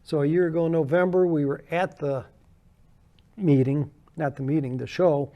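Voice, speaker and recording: A man's voice: fairly high pitch, steady tone, normal speed, warm tone. An older American man, a guest in an interview, chatting casually and telling anecdotes about his hobby with frequent laughs. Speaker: guest model builder.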